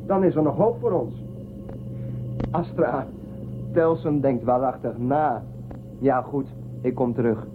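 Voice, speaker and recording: An actor as a cheeky rebel spaceman in a radio play, with a mid-pitched male voice. He talks in a fond, teasing, persuasive way, on a dull narrow-band recording with music underneath. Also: slow, long pauses, close to an everyday voice. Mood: wry. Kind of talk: radio drama acting.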